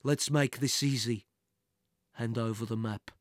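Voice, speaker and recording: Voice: medium pitch, steady tone, a little slow, no room sound, pitched below the performer's own voice, close to an everyday voice. Narrator doing a male villain, menacing, mock-polite, nasty temper, dry audiobook recording. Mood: threatening.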